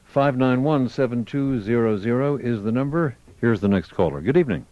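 A man hosting a 1990s call-in radio show, who briskly reads out the phone number and introduces guests. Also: mid-range voice, expressive, dry recording. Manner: brisk announcer, gives the phone number, urges callers. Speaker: radio host. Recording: dull 1990s radio broadcast.

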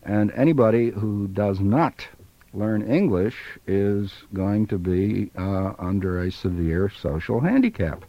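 A male professor, a guest on talk radio, speaks in a low voice. He reasons his answer out slowly and deliberately. The dry broadcast sounds muffled. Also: lively intonation, no room sound. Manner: measured, thoughtful, hesitant with fillers. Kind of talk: radio interview. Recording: dull 1990s radio broadcast.

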